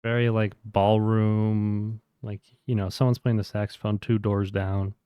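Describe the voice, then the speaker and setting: Excited guy raving about a record, cussing a lot, smooth clean voice, dry sound, voice wearing out. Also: on the low side, measured pace, dry recording, highs cut off. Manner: enthusiastic, swearing, laughing often. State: losing his voice. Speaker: podcast co-host. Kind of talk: casual music review chat.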